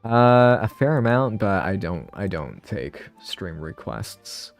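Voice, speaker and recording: Lively low-voiced man streaming, sniffly. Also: takes it slowly, faint music behind. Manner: rambling, self-interrupting, thinking aloud. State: sniffly from a cold. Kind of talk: casual live-stream tutorial chat.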